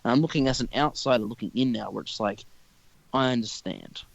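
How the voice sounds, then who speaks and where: A man's voice: mid-range voice, a little fast, vocal fry, no echo, dull audio. A young man hosting a podcast, chatting casually in a rambling way and swearing freely. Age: young adult. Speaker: podcast host. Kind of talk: casual chat.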